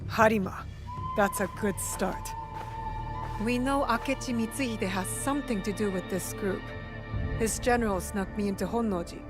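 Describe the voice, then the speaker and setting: An actress playing a blunt, vengeful young assassin in a video game, terse and direct. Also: female voice, mid-range voice, moderate pace, over music, natural voice. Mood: determined. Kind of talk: dramatic video game cutscene acting.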